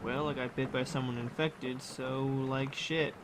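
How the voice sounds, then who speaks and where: A man acting a weary, sarcastic high school boy in an audio drama, with a dry delivery. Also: steady tone, unhurried, lightly acted. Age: young adult. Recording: ambient vehicle noise behind.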